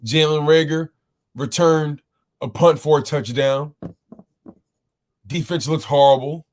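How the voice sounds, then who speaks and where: A man with a slightly scratchy voice hosts a live sports talk stream. He speaks slowly and drawn out, greeting his chat by name and repeating his points with loud exclamations. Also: a little high. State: throat a bit rough. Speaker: host of a sports call-in show.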